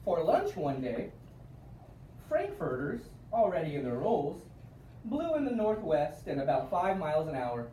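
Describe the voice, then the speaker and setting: Mellow, lilting male storybook reader, roomy sound. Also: on the high side, wildly swooping pitch, unhurried. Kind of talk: children's storybook read aloud. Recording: roomy, distant mic with faint noise.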